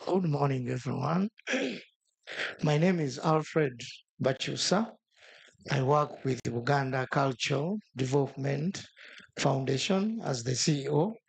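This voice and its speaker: A man's voice: medium pitch, lively intonation, slow pace, vocal fry, slightly rough, poor audio. A man who leads a development organization, introducing himself steadily and formally at a policy dialogue.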